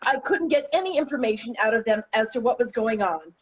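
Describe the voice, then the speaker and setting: A woman's voice: on the high side, animated, a little fast, breathy edge, poor audio. A woman playing a wry, exasperated middle-aged mother in a comic play read aloud. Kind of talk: table-read stage comedy acting.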